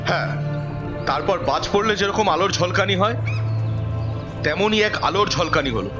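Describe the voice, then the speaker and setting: Guy playing a confident ghost hunter type telling the tale, highish voice, loud music under it, kinda muffled. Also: expressive, moderate pace. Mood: grave storytelling. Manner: explanatory, storytelling. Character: confident male occult expert recounting a battle with a djinn. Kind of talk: Bengali audio drama acting.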